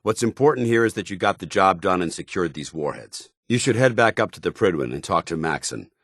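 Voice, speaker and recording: A stern video game paladin, with a very low, husky voice that moves expressively. He is zealous and loyal, speaking with clipped military formality in a close, dry recording. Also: lively intonation, a little fast, fairly bright tone, dry recording, exaggerated voice. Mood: approving, formal. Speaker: companion soldier character.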